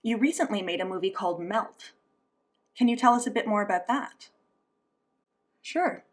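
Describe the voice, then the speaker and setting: A woman's voice: mid-range voice, expressive, moderate pace. A woman gives a spoken interpretation of a signed interview, working as an interpreter. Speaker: sign language interpreter voicing an interview.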